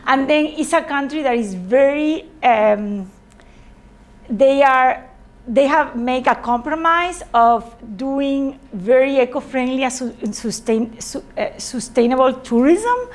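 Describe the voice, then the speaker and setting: A woman from Spain with a Spanish accent gives an informal presentation to a group, haltingly, often repeating and correcting words. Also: high voice, animated. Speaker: presenter, sailor.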